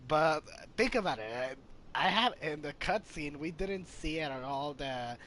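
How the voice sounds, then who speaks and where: A man giving casual gameplay commentary as a streamer, halting and rambling. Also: on the high side, expressive, a bit bright, a little hiss or hum. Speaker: gaming streamer.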